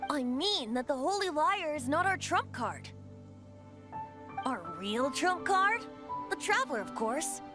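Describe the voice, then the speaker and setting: A woman's voice: high voice, sing-song, measured pace, music in the background. A woman voicing a youthful, playful boy bard in a video game, with a theatrical and slightly coy manner. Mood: confident. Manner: theatrical, lightly coy storyteller. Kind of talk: animated video game voice acting.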